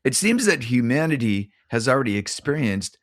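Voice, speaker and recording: A man co-hosting a podcast, speaking casually in a halting, thoughtful way. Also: medium pitch, big pitch swings, no room sound, studio quality. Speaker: podcast co-host. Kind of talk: casual chat.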